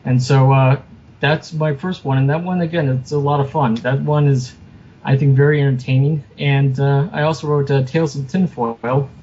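A man being interviewed as a guest author, chatting casually in a halting, self-deprecating way. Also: mid-range voice, fairly even intonation, warm tone. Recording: muffled lo-fi sound with noticeable background noise.

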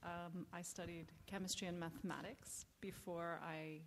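A quiet-voiced woman from the southern United States introducing herself on a panel, softly and with small laughs. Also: fairly low pitch, flat delivery, moderate pace. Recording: reverberant hall with faint noise.

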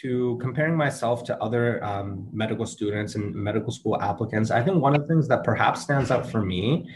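A man's voice: medium pitch, a little fast, darkish tone, slight background noise. A young man whose first language is Urdu, answering interview questions in an earnest, casual way with many ums and restarts. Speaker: interview guest, medical student.

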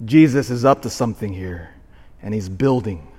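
A man in his forties, a parish priest giving a sermon, swinging from shouted emphasis to quiet asides. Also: fairly low pitch, moderate pace, husky. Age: 40s. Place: church. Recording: long church reverb.

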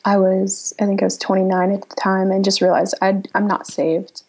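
A woman in her thirties, originally from Pennsylvania, speaks as a podcast guest, pausing and circling back as she reflects. Her voice is husky with a gravelly fry, and her pitch stays quite flat. She sits at an ordinary middle pitch for a woman. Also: poor audio. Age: 30s. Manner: reflective, halting, many um fillers. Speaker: guest, writer. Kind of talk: casual interview talk.

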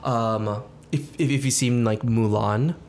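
A man's voice: mid-range voice, steady tone, unhurried, darkish tone, a little hiss or hum. A man born in Taiwan, a podcast guest talking casually with plenty of fillers and restarts. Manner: chatty, lots of fillers and restarts. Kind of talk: casual chat.

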